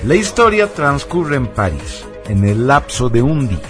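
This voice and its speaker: A man's voice: medium pitch, very animated, normal speed. A man reading a scripted film synopsis as a radio presenter. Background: faint music.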